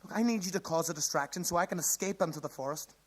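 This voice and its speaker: A man's voice: on the high side, fast, natural voice. A man acting an upset, put-upon teenage boy in a live radio play, naturalistic and self-justifying. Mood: defensive, panicked.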